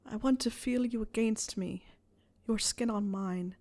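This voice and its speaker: A woman voicing a shy, yearning young male wizard in an audiobook, breathy and hesitant in manner. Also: a little high, measured pace, darkish tone.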